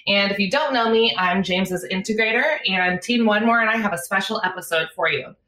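A woman on the host's team introducing an episode in an excited, friendly way. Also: medium pitch, brisk, reverberant, far from the mic, rough recording. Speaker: team integrator. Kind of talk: upbeat podcast intro.

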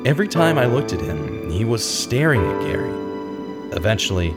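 Man acting a brooding, low-voiced investigator. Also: sing-song, normal speed, loud music behind the voice. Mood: sombre recollection. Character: brooding, angry male investigator hunting an abuser. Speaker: lead actor and narrator in an audio drama. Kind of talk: radio drama dialogue and first-person narration.

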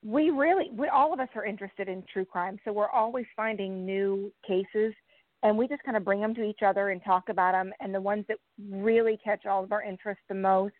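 A woman's voice: mid-range voice, brisk, no room sound. Female guest, thin dry telephone sound. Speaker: podcast host, interview guest. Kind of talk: casual interview answers. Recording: dry, narrow phone-line audio.

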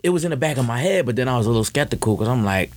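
A man telling stories in loose, slangy talk, stopping and restarting and filling gaps with fillers. His voice sits at an everyday middle pitch for a man, and a faint hiss runs under it. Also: expressive, rapid-fire. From United States. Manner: slangy, rambling storyteller with many fillers. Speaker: podcast guest. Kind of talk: casual chat.

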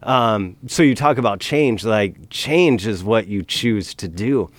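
A man's voice: lowish voice, expressive, slow, no echo. A middle-aged man in casual podcast talk, earnest and emphatic, pressing his points with conviction.

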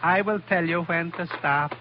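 Man acting a coldly polite radio villain, higher-pitched. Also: natural voice. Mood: cold, cruel. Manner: formal, deliberate, menacing politeness. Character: menacing, coldly polite foreign male henchman with a gun. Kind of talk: 1940s radio adventure acting. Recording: dull, noisy old radio recording.

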